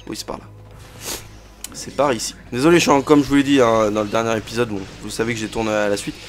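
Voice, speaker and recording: A man's voice: mid-range voice, fairly even intonation, fast talker, soft background music. Chatty man with a cold commenting gameplay. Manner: chatty, many fillers and interjections. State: has a cold. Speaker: let's play host.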